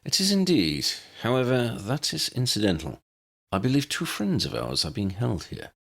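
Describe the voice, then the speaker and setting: An unflappable older gentleman of a storybook adventure, as a male narrator puts him on. He speaks formally and dryly, with courteous self-assurance and a widely swinging melody. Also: medium pitch, huge pitch swings, normal speed, vocal fry, voice pushed up, full character voice. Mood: courteous, assured.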